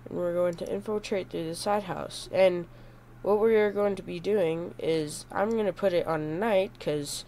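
A man's voice: high-pitched, fairly even intonation, normal speed, dark tone, a little hiss or hum. A young man with a stuffy, cold-blocked voice, rambling through casual gameplay commentary with lots of goofy self-corrections. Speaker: gaming YouTuber.

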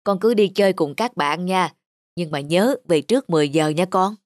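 A woman playing a doting older father in a cartoon drama, affectionate and gently teasing. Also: fairly low pitch, normal speed, no echo, clean studio sound, natural voice. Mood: caring. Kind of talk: animated cartoon drama acting.

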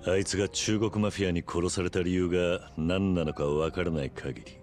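Actor as very deep, stoic tough man. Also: natural voice. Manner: terse, grave. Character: stoic, terse, resolute middle-aged tough man. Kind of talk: video game drama acting.

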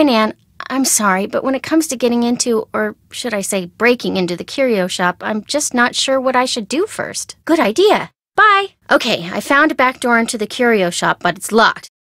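An actress playing a perky, nervous young woman sidekick in a video game, with an American accent and a chatty, wry, expressive delivery. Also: a little high, no echo, clean studio sound. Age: young adult. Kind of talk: scripted video game dialogue, phone-call chatter.

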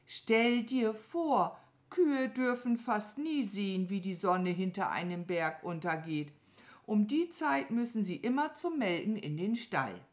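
A woman voicing a gentle, affectionate, dreamy cow in a children's storybook, with a mild, mooing manner. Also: medium pitch, animated, measured pace, pitched above the performer's own voice, natural voice. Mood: dreamy, delighted.

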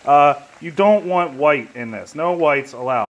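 A young man in his twenties filming a comedic cooking video in his home kitchen on a phone held at arm's length, cracking crude deadpan jokes and swearing freely. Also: fairly high pitch, unhurried. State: hungover.

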